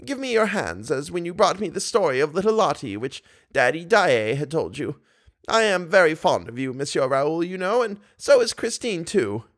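A man's voice: a little high, very animated, moderate pace, a bit bright, pitched above the performer's own voice. A man playing a sweet, childlike old lady. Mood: fond. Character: kindly old bedridden woman, childlike and serene.

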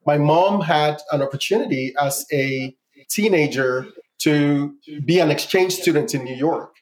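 A man's voice: fairly high pitch, a little slow. A man in his forties from Ghana, telling his life story as a guest in a casual interview and often repeating words.

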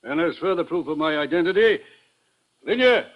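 An actor playing a fat, secretive gentleman spy contact in a 1950s radio drama, speaking in a formal, guarded way. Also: a little high, lively intonation, normal speed, natural voice. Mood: urgent, secretive. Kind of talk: 1950s radio adventure acting. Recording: dull, noisy vintage 1950 radio recording.

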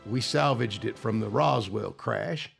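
An actor playing a steady, loyal general in charge of a secret project, gravelly, in an audio drama. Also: male voice, on the low side, unhurried, faint music behind. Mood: assured.

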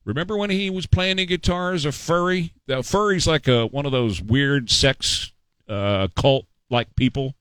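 A man's voice: on the high side, normal speed, a bit bright, clean tone, no room sound. A middle-aged man hosting a talk show, giving opinionated political commentary in a sarcastic, mocking, emphatic way.

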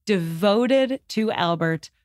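A woman's voice: medium pitch, lively intonation, takes it slowly, darkish tone, no room sound, studio quality. A woman guest on a podcast talking history in an informal, emphatic storytelling way. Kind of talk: conversational history discussion.

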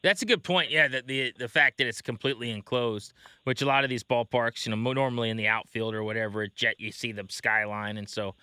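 Very bright, crisp American male host. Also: mid-range voice, a little fast. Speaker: baseball show host, interviewer. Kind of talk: casual conversational interviewing.

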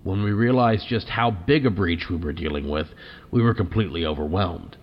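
A low, grave male doctor, played by a man testifies defensively and deliberately. The sound is a little dull, in the manner of a scripted audio drama.

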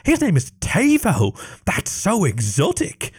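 Male reader as a prim, chattering noble girl, high and lively, storybook. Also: slow, slightly rough, full character voice. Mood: gossipy. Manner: prim, gossipy.

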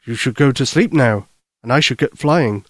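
A man voicing a jolly, kindly old Santa Claus in a bedtime story, slow, gentle and reassuring. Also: medium pitch, no echo, natural voice. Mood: jolly, tender.

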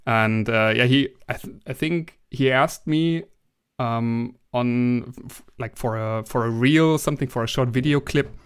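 German-accented man, smooth hesitant speech. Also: mid-range voice, unhurried. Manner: hesitant, many restarts and fillers. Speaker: guest. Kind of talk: casual interview conversation.

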